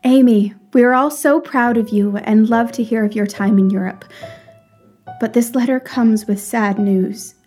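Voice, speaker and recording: A fairly high mother's voice acted by a woman in a period radio play. She is grave and loving, voicing her letter formally and with measured solemnity. Faint music sits behind her. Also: fairly even intonation, dark tone. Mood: grave, sorrowful. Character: Marmee, grave, loving mother writing sad news. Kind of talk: audio drama acting, letter read aloud.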